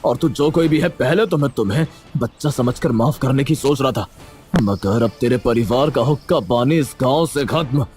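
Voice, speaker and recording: An arrogant grandfatherly village chief, acted by a man in a cartoon tale. He scolds and threatens like someone used to being obeyed, with a widely swinging, animated pitch. Faint music plays behind him. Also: moderate pace, lightly acted. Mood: furious. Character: arrogant, angry old village headman, a grandfather. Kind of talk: Hindi cartoon story acting.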